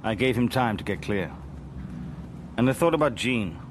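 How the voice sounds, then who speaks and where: An actor plays a weary male spy in a Cold War radio drama. His delivery is dry, guarded and deadpan, with wry understatement. Clear background noise sits behind the voice. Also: mid-range voice, moderate pace, close to an everyday voice. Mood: brooding, reflective. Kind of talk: radio spy drama acting with first-person narration.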